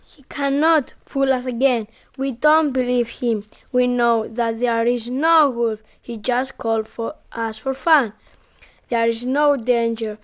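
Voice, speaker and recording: A woman's voice: on the high side, fairly even intonation, slow. A woman voicing the villagers, speaking firmly and with doubt. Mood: dismissive. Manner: firm, doubting. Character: villagers, adult townsfolk.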